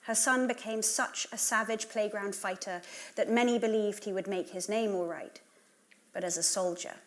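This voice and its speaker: A woman with a medium-pitched voice reads a scripted introduction as presenter. She is composed and articulate, narrating in full, prepared sentences. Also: fairly even intonation, normal speed.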